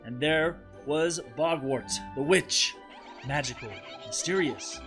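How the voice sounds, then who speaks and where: A man giving an expressive, deliberate storybook read-aloud for children in the manner of a teacher. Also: on the high side, music in the background.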